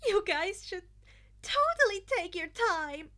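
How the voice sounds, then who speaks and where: A woman's voice: very high-pitched, very animated, takes it slowly, pushed and bright, voice pushed up, exaggerated voice. A woman voicing a bubbly, playful teenage girl who exclaims with great enthusiasm in a story reading. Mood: delighted.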